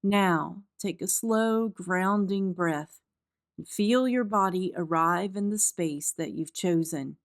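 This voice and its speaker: A woman narrating a scripted guided meditation in a soft, gentle, calm and soothing way, as the guide of the practice. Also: fairly low pitch, slow. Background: instrumental music.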